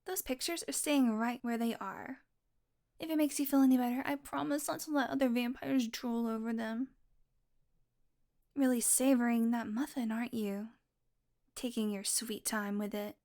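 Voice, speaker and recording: A woman's voice: on the high side, fairly even intonation, moderate pace, a little mellow, close to an everyday voice. A woman voicing a teasing, possessive vampire who dotes on her prey, speaking intimately and smugly to the listener in an audio roleplay. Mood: playful, taunting. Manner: smug, flirtatious teasing with mock annoyance.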